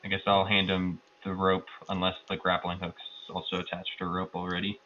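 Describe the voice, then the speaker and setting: A man's voice: low-pitched, monotone, a little fast, pushed and bright, dull audio, slight background noise. A man playing a tabletop roleplaying game, improvising casually and thinking aloud in a hesitant way.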